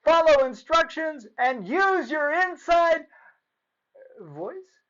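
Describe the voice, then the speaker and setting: A man voicing an excitable young boy shark from a storybook, who exclaims and thinks aloud in rhymes. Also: extremely high pitch, takes it slowly, dull audio, voice pushed up, full character voice. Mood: excited, shouting.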